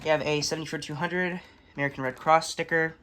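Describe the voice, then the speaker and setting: A young man giving a casual, unscripted show-and-tell, halting and matter-of-fact as he lists items. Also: on the high side, steady tone. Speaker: hobbyist collector giving a tour. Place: indoors in a room, handling plastic bins. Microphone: handheld phone camera. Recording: noisy handheld recording with clattering.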